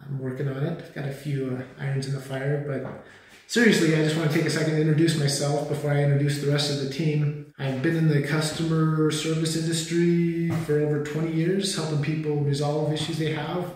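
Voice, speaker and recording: A man's voice: on the high side, little pitch movement, a little fast, darkish tone. A man in his forties, a warehouse director, giving a rambling, self-deprecating tour of the office and warehouse, full of fillers. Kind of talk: casual company tour. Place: office, then large warehouse. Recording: long warehouse reverb.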